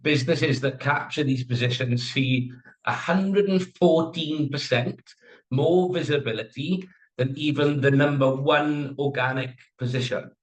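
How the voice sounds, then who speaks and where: A man's voice: mid-range voice, unhurried, rough recording. Upbeat Welsh man presenting a workshop. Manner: upbeat, quotes statistics, invites the audience to join in. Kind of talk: live online workshop talk.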